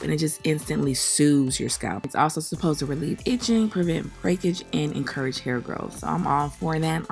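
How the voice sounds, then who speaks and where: A woman in her thirties narrating her hair routine in a relaxed, candid way. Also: deep voice, gravelly. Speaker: hair vlogger. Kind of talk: voice-over tutorial.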